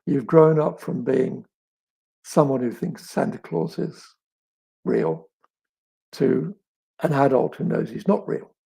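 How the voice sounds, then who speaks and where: A middle-aged man with a crackly, raspy voice, as if with a frog in his throat, chatting reflectively as an author and telling stories with thoughtful pauses and emphasis. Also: medium pitch, animated, warm tone. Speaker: author answering audience questions. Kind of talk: reflective live chat, storytelling.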